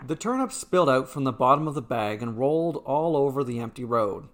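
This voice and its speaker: Male storyteller for scripted fantasy short fiction, mid-pitched voice. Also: animated, brisk, background noise. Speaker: narrator, storyteller.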